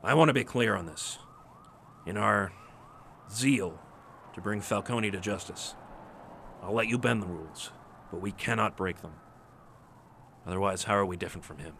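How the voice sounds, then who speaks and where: An actor playing a steady, principled police captain in a noir radio drama, gravelly, measured and earnest. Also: male voice, lowish voice, a little hiss or hum. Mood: earnest, firm.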